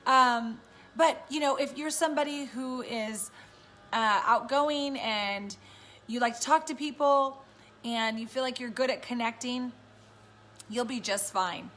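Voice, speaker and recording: A woman with a raspy voice, chatting casually and encouragingly to viewers on a live stream from home. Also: on the high side, a little slow, sharp tone, a little hiss or hum. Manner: chatty and encouraging, many fillers. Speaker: live-stream host and business coach.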